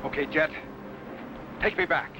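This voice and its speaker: An actor playing an authoritative space captain and mentor, formal and didactic, in 1950s children's television style. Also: male voice, a little high, unhurried, over music, natural voice, low-quality recording. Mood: commanding. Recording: vintage TV film soundtrack, faint noise.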